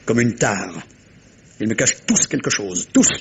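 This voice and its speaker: An actor playing a shrewd, courteous, theatrical Belgian detective in a 1950s-style French radio mystery, with suave, teasing phrasing and a husky voice. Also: male voice, lowish voice, very animated, unhurried, heavily acted. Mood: grave, knowing.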